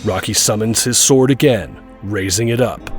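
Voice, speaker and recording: A man's voice: on the low side, moderate pace. A man delivering scripted action narration as the narrator of an audio drama. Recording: music bed under the voice.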